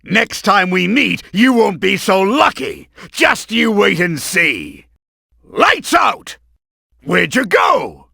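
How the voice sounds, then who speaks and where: A man's voice: high voice, very animated, takes it slowly, gravelly, sharp tone, breathy edge, no room sound, clean studio sound, full character voice. A man voicing a bombastic, scheming middle-aged mad-scientist villain in a video game, theatrical and blustering, with a hot temper. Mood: angry, threatening.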